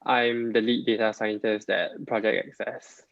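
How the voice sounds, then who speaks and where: A man's voice: on the low side, flat delivery, measured pace, vocal fry, fairly bright tone, dry recording. A young man from Singapore, a student panelist, introducing himself in short plain statements. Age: young adult. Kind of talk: webinar introduction. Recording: dull dry lo-fi audio.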